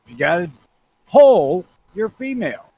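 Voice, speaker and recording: A man's voice: sits high, big pitch swings, normal speed, vocal fry, mellow, husky. A blunt, opinionated man co-hosting a podcast, swearing freely in casual chat. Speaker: podcast co-host.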